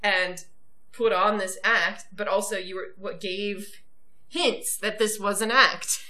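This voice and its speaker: A woman's voice: mid-range voice, steady tone, slow, pushed and bright. A woman co-hosting a casual chat about a TV episode, chatty and swearing freely. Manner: chatty, swearing, acts out quoted voices. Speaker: podcast co-host.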